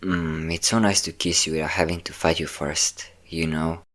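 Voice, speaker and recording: A man's voice: a bass voice, flat delivery, normal speed, fairly bright tone. A man playing a possessive, domineering vampire lover, teasing and commanding the listener in an intimate audio roleplay. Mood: fond, teasing. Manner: teasing, commanding, breathy whispers at times.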